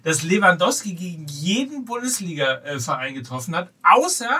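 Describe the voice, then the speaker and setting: A man co-hosting a casual football podcast, excitable and stumbling into his points, recorded a little away from the mic. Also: sits high, moderate pace, slightly rough. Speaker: podcast co-host. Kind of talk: casual football talk, bantering. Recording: distant mic with faint background noise.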